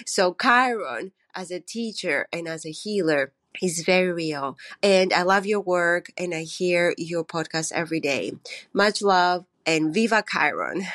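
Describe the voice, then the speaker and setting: A woman in her forties, born in Greece and a native Greek speaker, leaving an earnest, slightly halting voice message as a listener. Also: fairly low pitch, expressive, no room sound. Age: 40s. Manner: earnest, warm, a little halting. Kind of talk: recorded voice message.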